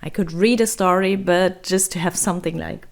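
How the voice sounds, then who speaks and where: A young woman from Germany with a hoarse, raspy voice and vocal fry, chatting through a travel vlog voice-over in a rambling, self-deprecating way. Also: lowish voice, fairly even intonation, normal speed. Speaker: travel vlogger. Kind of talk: voice-over narration.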